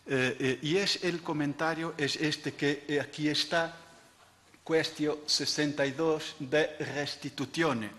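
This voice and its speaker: A man's voice: mid-range voice, a bit bright. A Portuguese man, a non-native Spanish speaker with a Portuguese accent, giving an academic lecture with frequent hesitations and restarts. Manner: hesitant, many filler sounds and restarts. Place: lecture hall. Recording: reverberant lecture hall.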